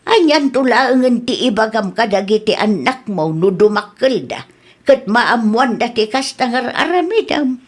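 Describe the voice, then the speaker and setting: A woman's voice: a little high, animated, measured pace. A woman with a Philippine accent playing an admonishing, motherly older village aunt who preaches in a comedy radio drama. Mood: stern admonishing.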